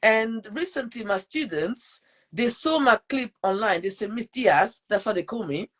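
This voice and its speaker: A woman's voice: fairly high pitch, lively intonation, measured pace, breathy edge. A woman from Portugal with a French accent, hosting a casual live podcast interview in a chatty, upbeat way. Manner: chatty, upbeat, with many fillers and restarts. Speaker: podcast host.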